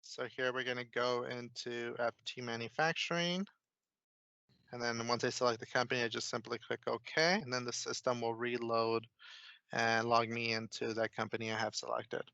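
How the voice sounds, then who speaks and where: Male software consultant, informal explainer, steady even intonation, dry close sound. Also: mid-range voice, normal speed, gravelly, no room sound, highs cut off. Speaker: software consultant, demo presenter. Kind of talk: casual screen-share software walkthrough.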